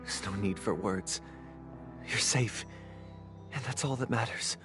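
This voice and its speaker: A man's voice: fairly low pitch, unhurried, music in the background, close to an everyday voice. A man voicing a proud, guarded nobleman in a video game, speaking in a formal, clipped way. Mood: relieved. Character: proud, wary young nobleman, guarded head of a family.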